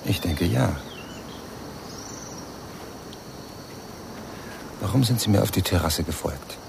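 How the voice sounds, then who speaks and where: An actor playing a cynical yet gentle man with a gravelly voice and a blunt, probing manner in a German radio crime drama. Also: low voice, expressive, brisk, noisy background, heavily acted. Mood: wry, probing.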